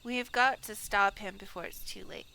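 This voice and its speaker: A woman's voice: mid-range voice, fairly even intonation, normal speed, background noise, lightly acted. A woman voicing a small, earnest moogle creature from a fantasy game, in an emphatic, declamatory way. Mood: urgent. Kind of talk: reading fantasy game dialogue aloud.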